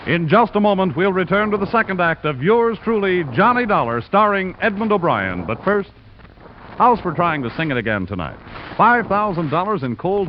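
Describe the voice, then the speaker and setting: An American male radio announcer in classic mid-century promotional style. His voice is highish and very lively in pitch. The recording is muffled, with a little noise.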